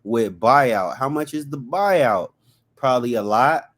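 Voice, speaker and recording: A man's voice: mid-range voice, lively intonation, unhurried, a bit bright. A man chatting casually as a podcast guest, laid-back and slangy, laughing often. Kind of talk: casual chat.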